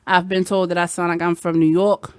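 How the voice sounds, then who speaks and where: A woman's voice: fairly low pitch, little pitch movement, brisk, clear, resonant tone, no room sound, studio quality. A woman radio host with a Baltimore accent, chatting candidly with sassy asides. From Baltimore, Maryland. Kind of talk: casual chat. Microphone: headset.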